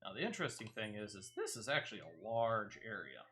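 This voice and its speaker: A man's voice: lowish voice, big pitch swings, fairly quick, a bit bright. A man giving casual gameplay commentary, explaining his moves step by step as he plays and offering tips to viewers. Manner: explains step by step, talking through own actions. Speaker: game streamer and player.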